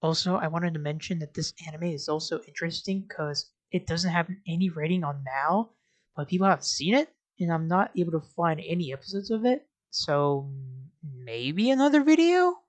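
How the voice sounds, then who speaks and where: A woman's voice: lowish voice, expressive, normal speed, no room sound. A woman hosting a video essay, giving casual scripted commentary with wry, sarcastic asides and informal, sweary phrasing.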